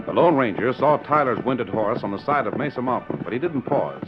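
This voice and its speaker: A man with a mid-pitched voice gives formal, dramatic narration for an old radio western. The recording is muffled, with clear music behind him. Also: expressive, a little fast.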